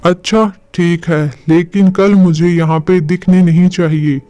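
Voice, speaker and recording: A man plays a cartoon policeman, stern and dutiful. The voice is high for a man and mellow, giving orders slowly. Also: fairly even intonation, faint music behind. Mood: stern, relenting. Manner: commanding, stern. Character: gruffly dutiful policeman who relents.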